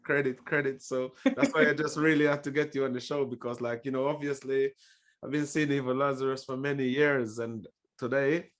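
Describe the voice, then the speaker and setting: A man's voice: medium pitch, flat delivery, fairly quick. A man hosting a podcast, effusive and loose, with lots of fillers as he talks. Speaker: podcast host. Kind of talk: casual interview chat.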